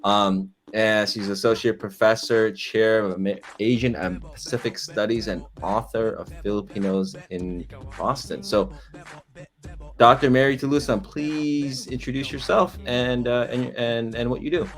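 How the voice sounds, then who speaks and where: A man hosting a live interview show, introducing his guest in halting speech with frequent restarts. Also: fairly low pitch, soft background music. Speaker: host.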